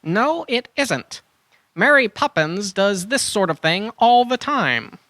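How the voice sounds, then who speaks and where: A woman's voice: mid-range voice, very animated, slow pace, a bit bright, no room sound, clean studio sound. A woman playing a cheerfully overconfident boy adventurer in a children's audio drama, breezy and self-assured. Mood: breezy, smug.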